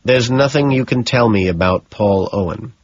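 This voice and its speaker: A man's voice: mid-range voice, normal speed, husky, dry recording, muffled recording, voice pushed up, close to an everyday voice. A man voicing a polite, tentative investigator who asks probing questions, in audiobook character dialogue.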